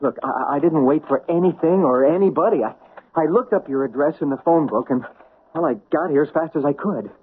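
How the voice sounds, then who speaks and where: A man's voice: a little high, brisk, slight background noise, lightly acted. An actor playing a nervous, flustered man under threat, stammering and anxious. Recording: muffled old radio broadcast.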